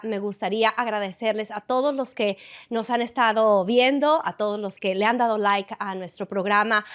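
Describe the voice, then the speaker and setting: A woman hosting an interview in a bar, effusive and expressive, often pausing with "este". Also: medium pitch. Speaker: interview host. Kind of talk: warm conversational interview. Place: a Latin music bar.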